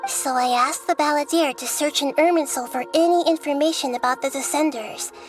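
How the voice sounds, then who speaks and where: A woman playing a young goddess of wisdom with a high, gentle voice. She explains calmly and thoughtfully, measuring every word. Soft music plays faintly underneath. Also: fairly quick, close to an everyday voice. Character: young-looking female god of wisdom, calm and gentle. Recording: game audio with faint music.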